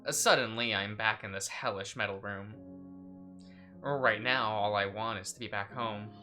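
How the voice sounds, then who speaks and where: Bright-voiced young man hosting a game playthrough. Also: fairly low pitch, fairly even intonation, normal speed, faint music behind. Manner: laughing, chatty, stumbles while reading. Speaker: let's play host. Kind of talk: casual let's play chat and reading aloud.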